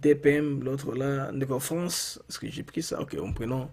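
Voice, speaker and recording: A man's voice: mid-range voice, normal speed, a little mellow, breathy edge. A Congolese man hosting a live phone-in in a casual, enthusiastic way, often repeating his phrases. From Democratic Republic of the Congo. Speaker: call-in show host.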